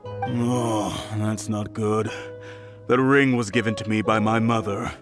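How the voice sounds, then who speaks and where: A man's voice: on the low side, fairly even intonation, slow. A man playing a worried, polite innkeeper in a video game, with a slightly hesitant way of speaking. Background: background music.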